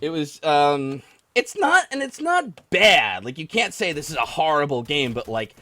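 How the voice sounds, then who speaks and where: A man's voice: fairly high pitch, normal speed. A man hosting a livestream, ranting casually about a game in an exasperated, rambling, profane way. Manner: exasperated, animated, rambling, mimicking others in mock quotes. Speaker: podcast and livestream host taking callers.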